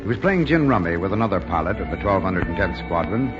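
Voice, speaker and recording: Old time radio narrator, lowish voice, music behind, muffled sound. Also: male voice, very animated, quick pace. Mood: dramatic. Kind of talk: scripted 1940s radio narration. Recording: muffled vintage radio recording.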